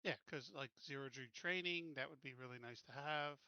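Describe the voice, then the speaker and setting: A man's voice: medium pitch, normal speed, pushed and bright, smooth, dry recording, highs cut off. A man chatting casually as a tabletop game player, making his points in a playful, insistent way. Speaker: player in a tabletop role-playing group. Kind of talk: casual chat.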